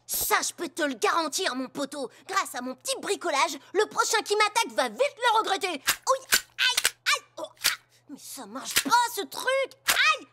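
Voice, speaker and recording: Excitable, high-pitched cartoon boy hero. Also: moderate pace, a little hiss or hum, heavily acted. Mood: panicked. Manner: pompous, dramatic. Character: excitable, self-important young boy superhero wannabe. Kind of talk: French cartoon dub acting.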